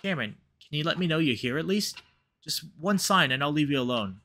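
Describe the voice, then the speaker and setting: Visual novel lead: man playing exhausted, anxious young guy, emotive and pleading, tense bright voice. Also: fairly high pitch, lively intonation, fairly quick. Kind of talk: visual novel read-aloud.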